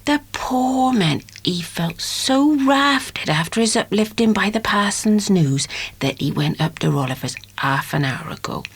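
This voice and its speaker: A woman playing a middle-aged, proud and gossipy rustic mother in an audiobook drama, breathy, with a West Country accent. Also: lowish voice, heavily acted. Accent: English West Country.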